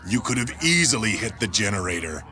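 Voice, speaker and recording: A stern robot commander. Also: male voice, lowish voice, very animated, crowd noise. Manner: measured, commanding. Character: stern male robot commander, calm and authoritative. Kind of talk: video game cutscene voice acting.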